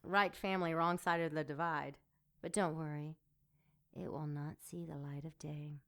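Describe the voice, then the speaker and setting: A woman playing a sardonic, seductive succubus demon in an audio drama, sultry and mocking in a deliberate way. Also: low voice, steady tone, fairly bright tone.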